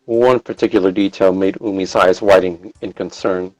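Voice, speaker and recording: Low-voiced man narrating a story. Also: fairly even intonation, moderate pace, dry recording, soft background music, low-quality recording. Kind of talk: scripted narration of fan fiction.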